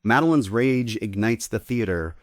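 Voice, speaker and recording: A man's voice: on the low side, expressive, moderate pace, no echo, studio quality. A man giving scripted narration, reading out the stage directions of a play.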